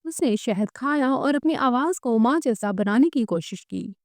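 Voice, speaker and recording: Woman, storyteller, scripted narration, higher-pitched voice, dry close sound. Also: lively intonation, a little slow, no echo.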